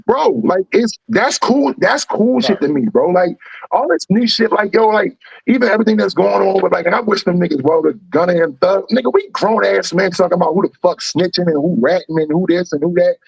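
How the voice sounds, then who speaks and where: Animated raspy Baltimore rapper, gravelly. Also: male voice, a little fast, dry recording, low-quality recording. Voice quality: fairly high voice for his size. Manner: animated, profane, emphatic. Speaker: rapper, guest. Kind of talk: casual podcast conversation.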